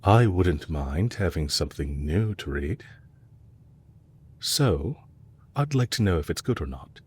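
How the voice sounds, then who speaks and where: Villain leader for intimate audio roleplay, male actor: low, husky register, courteous and measured, calculating under a gently teasing manner. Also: lively intonation, exaggerated voice. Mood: gently curious. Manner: polite, measured, softly teasing. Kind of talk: intimate scripted audio roleplay addressed to the listener.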